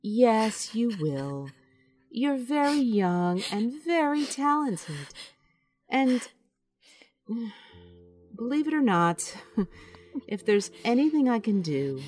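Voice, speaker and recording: A woman plays a sensible, skeptical lady in a radio play. Her voice is fairly high and lively in pitch, with slow, measured delivery in a dry, close sound. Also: no room sound, faint music behind, heavily acted. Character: sensible, skeptical woman, loyal friend of an actress. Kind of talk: audio drama acting.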